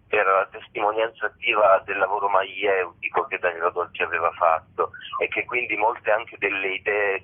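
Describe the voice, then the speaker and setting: A man being interviewed as a stage actor, talking haltingly as he searches for words over a phone call. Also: low-pitched, husky. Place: on a phone call to a radio station. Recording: muffled narrow telephone line.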